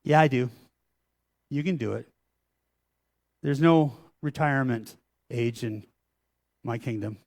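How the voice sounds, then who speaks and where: God speaking as a calm, commanding presence, as a preacher puts him on in a sermon, in a lowered, hushed voice. The words come slow and measured. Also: male voice. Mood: reassuring. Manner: solemn, measured, quiet.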